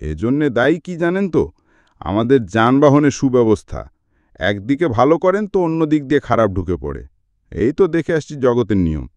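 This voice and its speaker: A man reading a talkative male doctor in a story, gently grumbling and explaining things in a philosophizing way. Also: mid-range voice, big pitch swings, moderate pace, dry recording, lo-fi sound, natural voice. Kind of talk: audiobook character dialogue.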